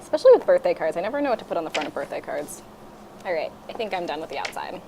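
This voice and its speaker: Animated woman co-hosting a casual chat. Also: on the low side, rapid-fire, noisy background. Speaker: children's story time co-host.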